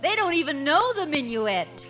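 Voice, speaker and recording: Coy, lively college beauty. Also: female voice, sits high, normal speed. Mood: coy, flirtatious. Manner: coy, seductive. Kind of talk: 1950s radio comedy acting. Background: music.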